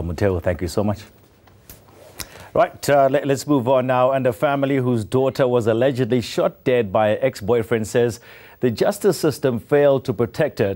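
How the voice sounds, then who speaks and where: Man, news anchor, low voice, brisk, conversational. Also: darkish tone. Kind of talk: news presenting.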